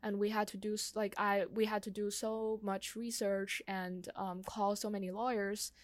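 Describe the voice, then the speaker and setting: A young woman speaking casually and personally, pausing often and peppering her sentences with "like". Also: mid-range voice, level tone, no echo. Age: young adult. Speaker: conversation host sharing own experience.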